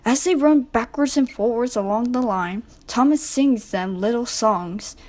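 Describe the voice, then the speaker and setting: A woman with a fairly high voice reads a children's story aloud. She delivers scripted narration as a storybook narrator. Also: unhurried, a little mellow.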